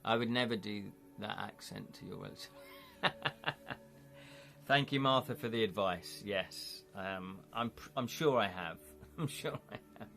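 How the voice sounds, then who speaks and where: A man's voice: lowish voice, unhurried, gravelly, long pauses, pushed and bright, soft background music. A man with an English accent hosting a casual live stream, chatting and thinking aloud as he reads viewer comments. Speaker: livestream host.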